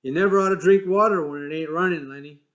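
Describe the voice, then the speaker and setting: A man voicing a small, irritable ranch hand who talks gruffly, swears and sounds fed up. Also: fairly high pitch, quick pace, fairly bright tone, voice pushed up, natural voice. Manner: gruff, exasperated, swearing, rough rural speech.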